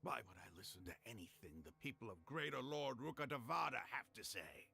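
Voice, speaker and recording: A proud, hostile desert mercenary leader in a video game. His voice is gravelly and husky yet bright, moving widely in pitch. He sneers at his enemies and broods over his faith. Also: expressive, brisk, full character voice. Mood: scornful. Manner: scornful, gravelly, dramatic.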